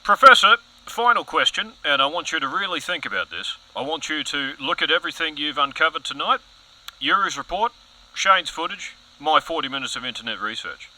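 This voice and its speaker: A man's voice: fairly high pitch, sharp tone, heavily acted, low-quality recording. A man playing a pompous, overzealous TV news anchor in an audio comedy, earnest and dramatic.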